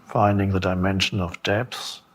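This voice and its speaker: A man giving a meditation talk as a spiritual teacher, speaking contemplatively with long pauses and gentle questions. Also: sits low, level tone.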